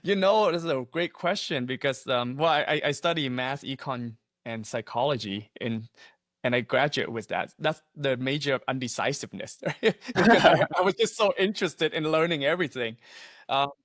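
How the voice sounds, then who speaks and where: A man's voice: mid-range voice, expressive, normal speed, fairly bright tone, no echo. Vietnamese-born man, 30s, earnest guest on a video call, frequent fillers, dry close sound. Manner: earnest, laughs often, many fillers and restarts. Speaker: guest, insurance agency owner. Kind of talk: casual interview storytelling. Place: small home office, indoors.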